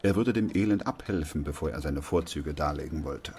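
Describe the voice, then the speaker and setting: A man playing a sober, weary, steadfast doctor in a German radio play, speaking in a grave, measured, reflective way. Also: extremely low pitch, a little mellow, husky, exaggerated voice. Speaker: actor playing the narrator and lead.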